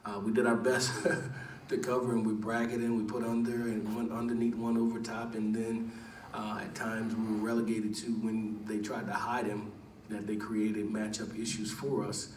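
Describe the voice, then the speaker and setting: A middle-aged man, a head coach, answering questions at a postgame press conference in a measured, earnest way, pausing with many "uh"s and repeating words. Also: fairly low pitch, flat delivery, a little mellow, slight background noise. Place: large press room with backdrop. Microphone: table mic, recorded from across the room. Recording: echoey room, from a distance.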